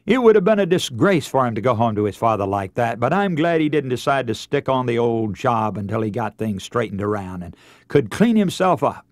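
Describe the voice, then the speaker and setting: A man's voice: medium pitch, sing-song, a little fast, no room sound, studio quality. An American man preaching a radio sermon as a dramatic, emotive story, with theatrical delivery and rhetorical questions.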